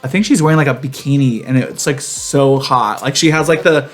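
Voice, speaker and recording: A man's voice: mid-range voice, a little fast, faint music behind. A man co-hosting a podcast, casually telling personal stories in a campy, sassy way full of slangy asides. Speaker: podcast co-host. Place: home garage studio.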